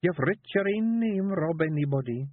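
A man putting on a clever, bossy little pixie woman with a Scottish accent, coaxing and brisk. The voice is pitched high and lively, slightly husky. It sounds thin and dry, like a phone line. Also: dry recording. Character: sharp-witted female pixie clan wife, bossy.

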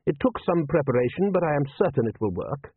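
A man voicing a calm, wily city ruler in an audiobook, measured and urbane, with drily polite understatement. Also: fairly high pitch, slightly rough, dry recording, voice pushed up, exaggerated voice. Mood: smooth, courteous. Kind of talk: audiobook character voice. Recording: narrow-band, phone-like sound.

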